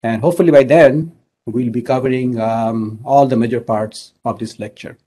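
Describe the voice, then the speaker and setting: A middle-aged man from Bangladesh, an engineering professor, giving an online lecture in a measured way with many fillers. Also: medium pitch, fairly even intonation, warm tone, husky.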